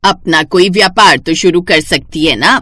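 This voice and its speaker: A woman voicing a practical neighbourhood auntie in a village cartoon story, giving brisk advice. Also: fairly low pitch, bright, forceful tone, no room sound. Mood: frank, encouraging. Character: practical, advising village auntie next door.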